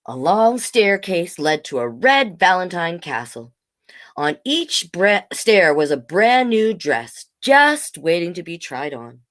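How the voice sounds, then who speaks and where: A woman reads a children's storybook at an unhurried pace, with a widely swinging melody. Her voice sits a little low, and she is expressive and coaxing as she hosts storytime. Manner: expressive, coaxing, talking to young listeners. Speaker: storytime host, drag king performer. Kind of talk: children's storybook read-aloud with asides.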